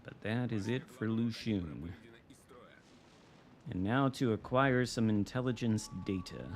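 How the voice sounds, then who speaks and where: A man's voice: fairly low pitch, unhurried. A man with a Michigan American accent giving casual, dry, sometimes profane live commentary as he streams a video game. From United States. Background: video game artillery and gunfire.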